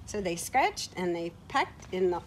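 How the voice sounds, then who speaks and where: A middle-aged woman reading a picture book aloud to children in an expressive way, recorded outdoors. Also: on the low side, a little slow. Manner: expressive, acting out short quoted words. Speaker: children's story time reader. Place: outdoors on a farm, beside horses. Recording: outdoor ambient noise from camera mic.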